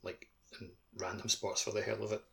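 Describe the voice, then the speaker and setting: A man's voice: on the low side, level tone, fairly quick, creaky. A man co-hosting a podcast, chatting casually about video games. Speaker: podcast co-host. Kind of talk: casual gaming podcast chat.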